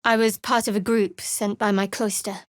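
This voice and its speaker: A woman's voice: medium pitch, level tone, moderate pace, no room sound, lightly acted. An actress voicing a guarded, sardonic young female cleric in a video game, with a dry, wry manner. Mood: earnest, composed. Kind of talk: video game character voice acting.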